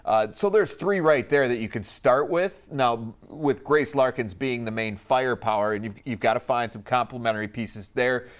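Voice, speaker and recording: A man's voice: medium pitch, moderate pace, few pauses. A man giving casual sports analysis as a panelist, with an emphatic manner.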